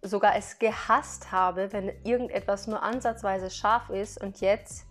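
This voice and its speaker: A chatty woman in a casual product haul vlog, talking her viewers through each item. She speaks in a mid-range voice and breaks into laughter now and then. Faint music plays softly behind her. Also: medium pitch, fairly even intonation, measured pace. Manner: chatty, laughs between remarks; explains items one by one to viewers. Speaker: vlogger.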